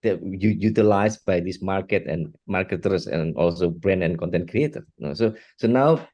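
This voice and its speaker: A middle-aged man from Singapore, answering interview questions in a halting way, often restarting and repeating words. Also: low-pitched, darkish tone, highs cut off. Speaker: guest, art technologist and educator.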